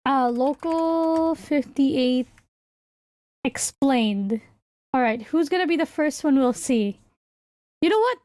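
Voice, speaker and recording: Girl streamer w a high voice, slow rambly casual chat, really dry close sound. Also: animated, dark tone, no echo. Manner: hesitant, rambling, thinking aloud. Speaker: livestreamer and VTuber host.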